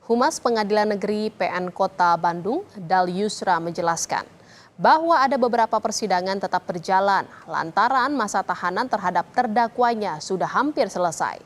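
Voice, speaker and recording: A woman's voice: mid-range voice, lively intonation, normal speed. Woman reading scripted television news. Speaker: news anchor.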